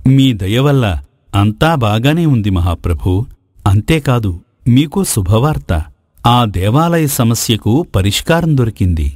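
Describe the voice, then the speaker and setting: A man's voice: medium pitch, expressive, unhurried, darkish tone, dry recording, clean studio sound. A man playing a courtly, self-serving ascetic sage. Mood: deferential. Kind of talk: dramatised moral folk tale.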